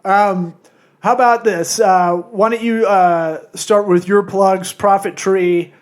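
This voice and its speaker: Male podcast host, notably high-pitched, mumbly and jokey, loose interview banter at his own expense. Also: steady tone, slow. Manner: self-deprecating, joking, profane. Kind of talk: casual comedic interview chat.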